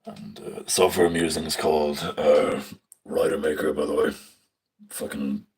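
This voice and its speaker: Young man, very deep husky voice, flat delivery, casual rambling tutorial with swearing, phone mic. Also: moderate pace. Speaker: stage tech student. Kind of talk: casual live screen walkthrough. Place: indoors at a screen. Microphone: phone filming a screen.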